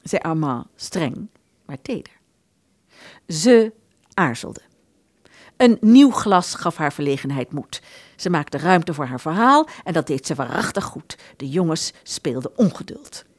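A woman narrating a literary radio play in an expressive storytelling manner. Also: low voice, a little slow, no room sound, clean studio sound.

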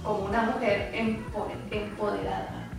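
A woman's voice: mid-range voice, little pitch movement, moderate pace, vocal fry, distant mic. A young woman from Ciudad Juárez with a northern Mexican accent, chatting in a playful, slangy way with bits of English. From Ciudad Juárez, Chihuahua, Mexico. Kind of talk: casual chat with English slang mixed in. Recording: very echoey room, off mic, music behind.

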